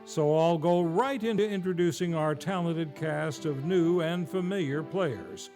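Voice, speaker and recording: Male vintage radio host: high voice, slow showy announcing, faint music behind. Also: a little mellow. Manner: showy, grandly enunciated. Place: theater stage, live show.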